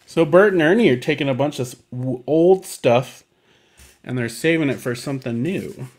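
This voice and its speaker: A man hosting a library storytime, chatting casually and simply to young children in a gentle, encouraging way. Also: medium pitch, lively intonation, normal speed.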